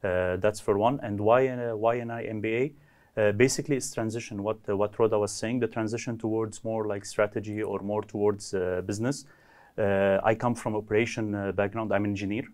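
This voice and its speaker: A man from Lebanon, an engineer answering interview questions in a measured way, often restarting phrases. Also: on the low side, fairly even intonation, a little mellow. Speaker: MBA graduate, engineer.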